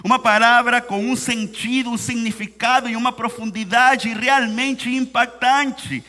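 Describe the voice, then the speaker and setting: A Venezuelan man preaches in a second language, his accent plain as he leans on repeated phrases and slows for weight. His voice sits high and bright. Long reverb from the church hall trails each line. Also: steady tone. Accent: Venezuelan Spanish. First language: Spanish. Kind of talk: sermon.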